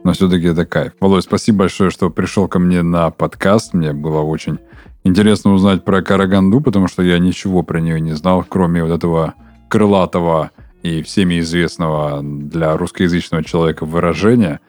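Low-voiced man hosting a podcast. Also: brisk, soft background music. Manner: relaxed, prompting questions, colloquial. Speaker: podcast host. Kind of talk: casual interview talk.

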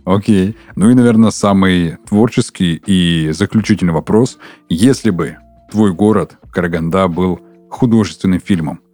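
A man hosting a podcast, asking questions in a relaxed, colloquial way. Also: low voice, moderate pace, soft background music. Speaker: podcast host. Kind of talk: casual interview talk.

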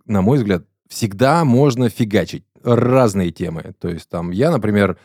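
Podcast host, late 30s male, low pitch, dark tone, blunt slangy storyteller. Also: measured pace, breathy edge, dry recording, studio quality. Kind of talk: casual podcast chat.

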